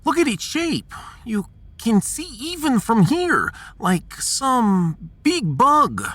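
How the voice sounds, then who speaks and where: A woman's voice: a little high, sing-song, slow, a bit bright, no echo, heavily acted. A woman playing a pragmatic, sardonic starship science officer in a sci-fi audio drama, with a wry, analytical manner. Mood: awed, grave.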